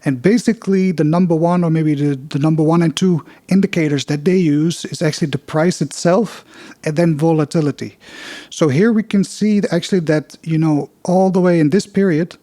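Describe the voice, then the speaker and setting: A man's voice: a little high, moderate pace, mellow, no room sound. A man with a Dutch accent hosting a loose, unpolished podcast, talking through charts with many fillers and restarts. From Netherlands. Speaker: podcast host. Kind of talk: casual investing podcast with chart walkthrough.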